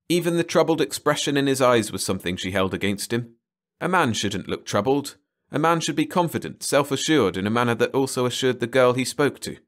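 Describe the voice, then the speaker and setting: A man's voice: medium pitch, a bit bright. A man reading a short story aloud as a scripted bedtime audiobook narration.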